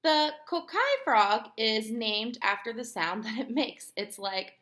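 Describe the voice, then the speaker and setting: A woman with a fairly high, very bright voice reads a children's book aloud as a teacher. Her delivery is expressive and instructive, moving between reading and explaining, with questions aimed at young listeners. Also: slow.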